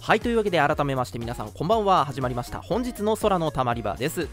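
A man's voice: on the high side, sing-song, racing, music in the background. A young man hosting a casual live radio talk show, chatting with teasing banter. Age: young adult.

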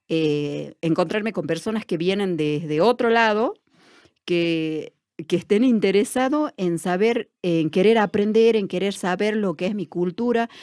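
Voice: medium pitch, a little mellow, no room sound, clean studio sound. A person with an Argentine Spanish accent, speaking as an interview guest in a reflective way, with many fillers and restarts.

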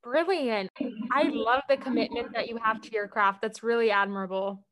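A woman's voice: a little high, fairly even intonation, normal speed, a crowd in the background, rough recording. A woman hosting a podcast, interviewing a guest and praising her in an upbeat, encouraging way. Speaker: podcast host.

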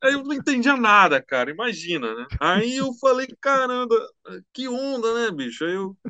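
Chatty high-voiced man. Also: normal speed, clean tone, dry recording. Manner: relaxed, slangy, chatty. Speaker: musician guest. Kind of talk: casual interview storytelling.

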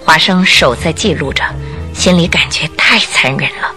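A woman narrating a radio detective drama in a dramatic, expressive storytelling style from a script. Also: sits low, normal speed. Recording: music under the voice.

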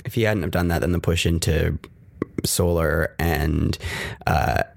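A man's voice: sits low, fairly even intonation, unhurried, gravelly, no echo, studio quality. A man co-hosting a casual tech podcast, rambling and ranty, swearing now and then. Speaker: podcast co-host. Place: New York. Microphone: close mic with pop filter.

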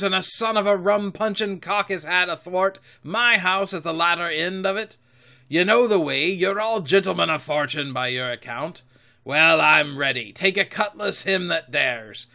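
A menacing older pirate captain from an adventure storybook, acted out by a male reader. He blusters and commands in rough seafaring talk, with a bright, forceful edge and a raised pitch. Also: heavily acted. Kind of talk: audiobook character voice.

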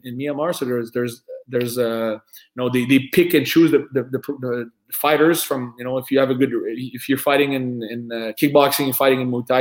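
A man with a French accent talking casually, often repeating words and restarting sentences as he looks for the next word. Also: medium pitch. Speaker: fight manager and promoter. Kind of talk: casual podcast chat.

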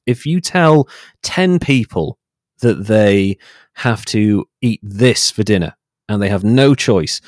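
A man's voice: on the low side, a little mellow, dry recording, clean studio sound. A man speaking as an interview guest in an articulate, discursive, conversational way, explaining his thinking.

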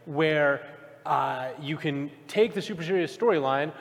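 Male panelist, fairly high voice, informal and emphatic debater, recorded in a big echoing hall. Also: measured pace. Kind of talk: casual live panel debate. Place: large convention panel room with microphones. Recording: very reverberant hall, live panel.

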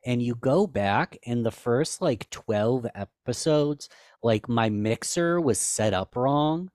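A man's voice: animated, slow, a little mellow, no echo, clean studio sound. A man guest on a podcast, talking candidly and self-deprecatingly in casual chat, rambling with restarts. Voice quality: higher-pitched for a man.

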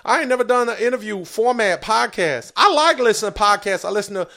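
A man doing a brash loud woman comic. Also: high-pitched, expressive, fast, exaggerated voice. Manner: crude, boastful, rambling, sings and shouts. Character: brash loud female comedian, crude and boastful. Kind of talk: improvised comedy podcast bit.

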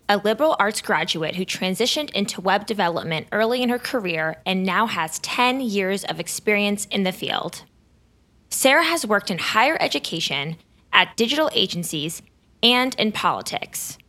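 A woman's voice: medium pitch, bright, forceful tone. A woman hosting a podcast, reading her intro and ads, then chatting with a guest enthusiastically. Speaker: podcast host. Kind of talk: casual interview and scripted intro.

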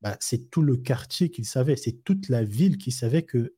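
A man's voice: medium pitch, animated, moderate pace, dark tone, breathy edge, dry recording, studio quality. A man co-hosting a live discussion, talking loosely with many restarts and everyday examples. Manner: rambling, frequent fillers and restarts, explains with everyday examples. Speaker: co-host of a live discussion show.

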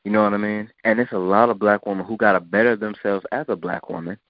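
A man's voice: lowish voice, fairly even intonation, quick pace, no room sound. A man hosting a podcast, talking in a blunt, provocative, preachy way. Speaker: podcast host. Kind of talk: casual podcast talk. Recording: dull lo-fi sound.

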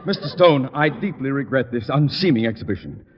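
An actor playing a pompous, blustering middle-aged school principal who fawns and stammers before superiors in an old radio comedy. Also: male voice, medium pitch, expressive, brisk, close to an everyday voice. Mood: wounded, fawning. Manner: pompous, florid, stammering under pressure. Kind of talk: 1940s-50s radio comedy acting. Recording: muffled, noisy old radio broadcast, hall reverb.

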